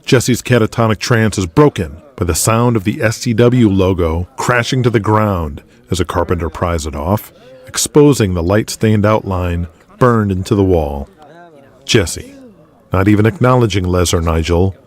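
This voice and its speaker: A man's voice: low voice, moderate pace. A man giving dramatic scripted narration as the narrator of an audio drama. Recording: music under the voice. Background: music.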